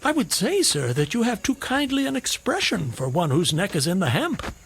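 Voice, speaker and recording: High, creaky, sardonic gentleman questioner. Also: huge pitch swings, normal speed, slight background noise, exaggerated voice. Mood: wry, sardonic. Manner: ornate, theatrical, sardonic. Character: wry, courteous, needling gentleman questioner with sly curiosity. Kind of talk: radio drama acting.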